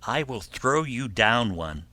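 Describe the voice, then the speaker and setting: A man's voice: medium pitch, very animated, slow pace, bright, forceful tone, no echo, heavily acted. A man voicing a small, plucky, polite little pig in a children's fairy tale, with an emphatic, childlike delivery. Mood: cheeky.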